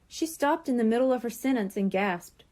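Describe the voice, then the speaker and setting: Very animated, high-pitched woman storyteller. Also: moderate pace. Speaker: children's story reader. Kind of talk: expressive scripted storybook narration.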